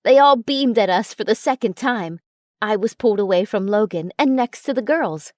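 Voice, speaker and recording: A woman reading a first-person story as a narrator, in an expressive way. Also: mid-range voice, moderate pace, dry recording, clean studio sound. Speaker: audiobook narrator.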